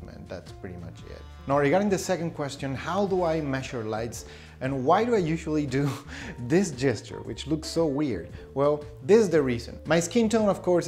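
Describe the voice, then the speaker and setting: A man's voice: medium pitch, a little mellow. A man in his thirties chatting casually to camera in a small room, explaining things with fillers and little laughs. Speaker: photography vlogger. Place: small indoor room with shelves. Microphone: camera in front, close framing.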